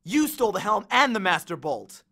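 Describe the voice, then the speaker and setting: A man reads as a young boy hero in an audiobook, earnest and defiant. He speaks bluntly in a fairly high, bright voice. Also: very animated, normal speed, heavily acted. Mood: accusing. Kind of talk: audiobook character voice.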